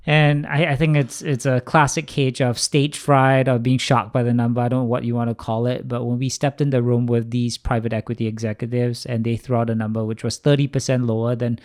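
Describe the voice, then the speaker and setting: Mid-pitched man from Singapore, interview guest. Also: flat delivery, brisk, darkish tone. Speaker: venture capitalist, interview guest. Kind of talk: conversational personal storytelling.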